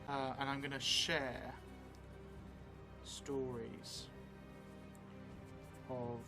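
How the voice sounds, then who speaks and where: A man in his forties, narrating a solo role-playing game and thinking aloud in a musing, halting, muttering way. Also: mid-range voice, flat delivery. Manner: musing, halting, drifting into muttering while writing. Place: indoor theatre stream set, seated at a table. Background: background music.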